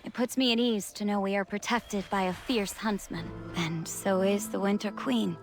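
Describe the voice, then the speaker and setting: Actress as sweet earnest young heroine in a fantasy game, polite, normal pitch, soft music behind. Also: female voice, steady tone, normal speed, natural voice. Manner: polite, sincere.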